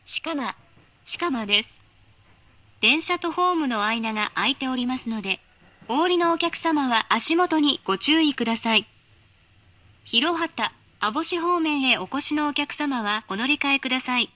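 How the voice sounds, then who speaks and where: A woman's recorded train announcement voice, polite and formal, spoken in measured phrases. Her tone is high and bright, and the sound is dry and close with no room around it. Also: animated, no room sound.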